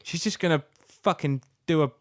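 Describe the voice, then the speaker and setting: A man's voice: fairly high pitch, a little fast, dry recording, studio quality. A British man co-hosting a casual comedy podcast, ranting bluntly with exasperation and plenty of swearing. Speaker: podcast co-host.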